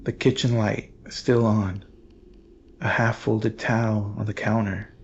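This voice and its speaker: A man narrating a scripted horror story in a solemn, measured, portentous manner with dramatic pauses. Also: on the low side, level tone, muffled recording, a little hiss or hum.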